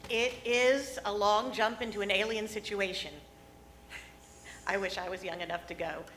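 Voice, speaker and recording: A woman playing an encouraging older mentor in a live radio play, earnest, measured and persuasive. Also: medium pitch, steady tone, a bit bright, a little hiss or hum, lightly acted. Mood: earnest, wistful. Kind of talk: live radio theatre acting.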